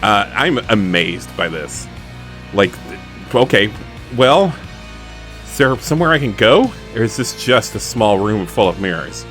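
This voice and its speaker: A man's voice: fairly low pitch, big pitch swings, measured pace, a bit bright, soft background music. A man playing a tabletop roleplaying game, chatting casually with joking asides as he says what his character does.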